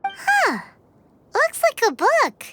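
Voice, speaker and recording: A woman voicing a small, excitable, childlike fairy companion in a video game, squeaky and chirpy. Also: extremely slow, heavy background noise, exaggerated voice. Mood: curious, excited. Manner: speaks of herself in third person, chirpy and exclaiming.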